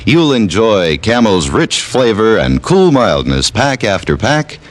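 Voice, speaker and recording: A man's voice: on the low side, very animated, measured pace. A man reading a cigarette commercial in a persuasive, earnest way for a 1950s radio show. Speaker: commercial announcer.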